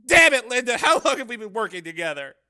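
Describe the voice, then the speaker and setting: An exasperated male news cameraman in an audiobook, acted out by a man, pitched very high and forceful. He is nervy and sarcastic, protesting with sharp agitation. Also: very animated, fast talker, clear, resonant tone, heavily acted. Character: nervous, exasperated, sardonic young-sounding male TV news cameraman.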